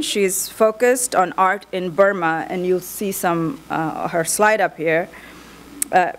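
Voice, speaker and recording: A woman giving opening remarks and introducing speakers as moderator, measured with some restarts. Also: mid-range voice. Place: conference hall.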